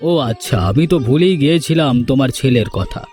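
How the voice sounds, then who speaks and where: A man's voice: mid-range voice, expressive, fast, a little mellow, faint music behind, lightly acted. A man voicing a concerned, chatty village husband in a fairy tale cartoon, speaking in an exclamatory way.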